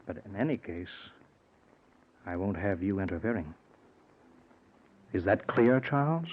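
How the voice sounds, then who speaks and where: A cold, low-voiced vengeful scientist, old radio. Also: male voice, expressive, measured pace, phone-quality sound. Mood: menacing. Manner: smooth, offhand, dismissive, domineering. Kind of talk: 1940s radio acting. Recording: noisy, band-limited 1940s radio recording.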